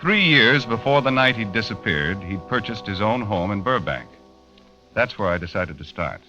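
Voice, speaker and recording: A man's voice: fairly low pitch, animated, moderate pace, sharp tone. An actor playing a matter-of-fact American magazine writer, narrating his investigation in an old radio drama. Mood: businesslike, curious. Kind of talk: 1940s-50s radio drama first-person narration. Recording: muffled vintage radio recording with music.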